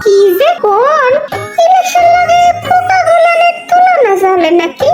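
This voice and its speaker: A woman's voice: extremely high pitch, very animated, unhurried, full character voice. A woman voicing a cranky, fault-finding older mother-in-law in a cartoon, scornful and complaining, with an East Bengali accent. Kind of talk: cartoon family comedy acting. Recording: loud background music under voice. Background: music.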